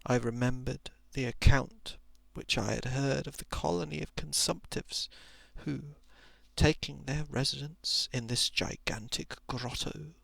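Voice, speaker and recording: A man reading a story in a soft, hushed ASMR style, with measured phrasing that often sinks into a whisper, close to the microphone. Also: mid-range voice, steady tone, creaky, dry recording. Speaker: narrator.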